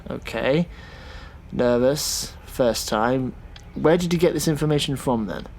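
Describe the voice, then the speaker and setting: A man playing a thoughtful, sceptical taxi driver in a naturalistic audio drama, talking in a casual, halting way. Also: medium pitch, vocal fry, darkish tone, a little hiss or hum. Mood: curious.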